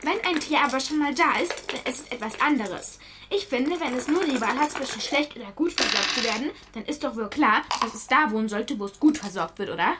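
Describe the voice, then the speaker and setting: A woman's voice: high-pitched, lively intonation, a little fast, noisy background. A female performer playing an earnest young girl in a children's audio drama, reasoning insistently. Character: earnest young girl, daughter who loves animals.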